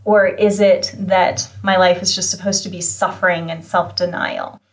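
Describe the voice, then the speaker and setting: A woman's voice: on the low side, flat delivery, normal speed. A woman hosting a podcast interview, asking thoughtful questions in a conversational way. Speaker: podcast host.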